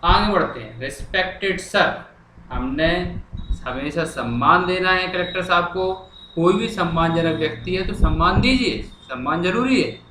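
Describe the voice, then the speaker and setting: A man's voice: high-pitched, normal speed. A man teaching a lesson, explaining step by step, repeating lines and answering his own questions. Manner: instructive, asks questions and answers them himself. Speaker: English teacher. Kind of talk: lesson explaining a formal letter line by line, repeating and translating. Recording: echoey room, faint background noise.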